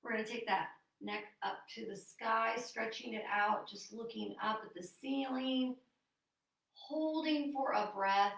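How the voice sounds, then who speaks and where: A woman fitness instructor leading a seated online exercise class, chatty with fillers, then giving calm breathing cues. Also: fairly high pitch, measured pace, gravelly. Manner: chatty and apologetic, with many fillers. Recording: roomy echo, distant from mic.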